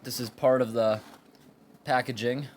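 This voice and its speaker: Man, mid-pitched voice, informal product reviewer, plain halting explanations, handheld camera mic, faint background noise. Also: steady tone, a little mellow. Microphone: handheld camera or phone microphone. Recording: amateur recording with background room noise.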